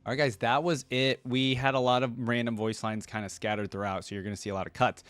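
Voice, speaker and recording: A man's voice: medium pitch, fairly even intonation, fast talker, a bit bright, clean tone, no room sound, soft background music. A man talking casually to his viewers as a video host, rambling along with informal fan enthusiasm.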